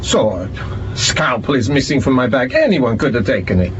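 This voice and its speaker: An actor playing an indignant, respectable doctor under suspicion in an audio drama, speaking formally. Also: male voice, mid-range voice, big pitch swings, moderate pace, lightly acted. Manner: affronted, formal. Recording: muffled, with background noise.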